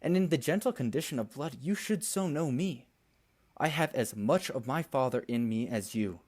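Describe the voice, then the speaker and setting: Proud young nobleman, youngest brother, male actor, impassioned and defiant, classical radio drama, mid pitch. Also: expressive, moderate pace, darkish tone, close to an everyday voice. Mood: sardonic. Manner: declamatory, impassioned. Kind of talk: Shakespearean radio play acting.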